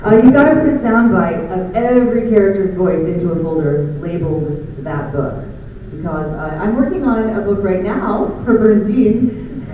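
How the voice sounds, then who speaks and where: A woman's voice: medium pitch, moderate pace, hardly any pauses, warm tone. Echoey, distant woman speaking informally on stage. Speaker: audiobook narrator and director at an audio theater company. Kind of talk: informal conference panel talk. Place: large conference room indoors. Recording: echoey room, distant dull mic, clear noise.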